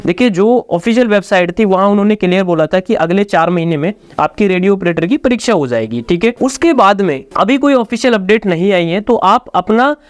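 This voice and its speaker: A man's voice: high voice, animated, brisk, hardly any pauses, no room sound. A man, a coaching teacher giving students an informal exam update, urging them on directly and repeating his points. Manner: repetitive, exhorting, addresses students directly.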